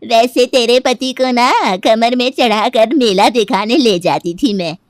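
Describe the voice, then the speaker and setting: Elderly aunty for a comic family tale woman acting: blunt, gossipy, quick to offence, unhurried. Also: fairly high pitch, no echo, studio quality, natural voice. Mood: chatty, bossy. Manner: scolding, gossipy. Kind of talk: acted comic family story.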